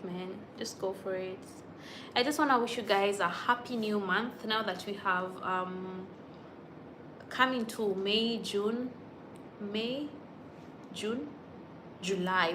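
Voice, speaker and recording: A woman's voice: medium pitch, background noise. A young woman from Kenya, chatting casually on a livestream and answering viewer comments as they come. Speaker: YouTube vlogger on a livestream.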